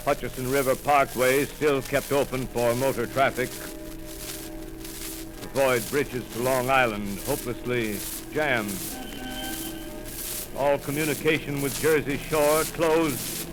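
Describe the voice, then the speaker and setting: An actor playing a male radio announcer on a rooftop, reporting as an eyewitness in halting, breathless bursts in 1930s radio drama style. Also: mid-range voice, close to an everyday voice, rough recording. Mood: grave. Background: warning bells ringing.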